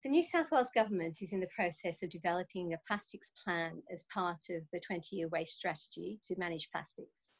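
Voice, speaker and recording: A woman's voice: lowish voice, a little fast, slightly rough. A young woman, politely reading out prepared questions as a panel moderator on a video call. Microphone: video call webcam.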